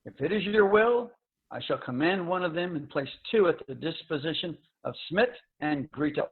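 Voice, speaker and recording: A man's voice: on the high side, lively intonation, moderate pace. Phone-thin recording; male actor as an earnest, formal space commander in a pulp sci-fi radio-style reading. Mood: resolute. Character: earnest male space officer pledging to a faint-hope mission. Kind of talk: dramatic reading of pulp science fiction. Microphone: video call. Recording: thin phone-like video call audio.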